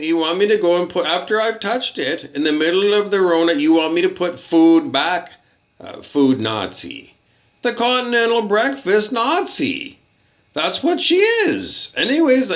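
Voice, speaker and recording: A man's voice: high voice, fairly bright tone. A man ranting in a rambling, hesitant way, repeating himself and swearing when riled. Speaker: hotel guest reviewing his loot bag. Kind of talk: rambling complaint rant. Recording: dull lo-fi recording.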